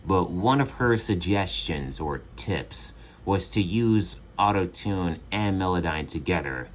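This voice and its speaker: A young man with a very low, bassy voice talks in a loose, relaxed way, leaning on fillers as he walks through his home studio setup. He sounds close to a phone mic in a small room. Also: unhurried, slight background noise. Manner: relaxed, chatty, many fillers. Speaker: home music producer. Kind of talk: casual tutorial walkthrough.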